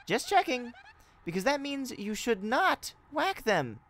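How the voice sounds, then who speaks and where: A woman's voice: fairly high pitch, sing-song, a little slow, fairly bright tone, slight background noise, pitched above the performer's own voice. A woman voicing a chatty, earnest young female sidekick in a video game, explaining things expressively. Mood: pointed, informative.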